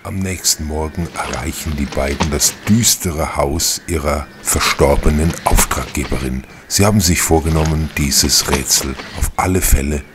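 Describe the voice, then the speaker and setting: A man with an extremely deep voice narrates a radio crime play. His delivery is scripted storytelling. Clear noise and clicks run through the recording. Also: normal speed, hardly any pauses.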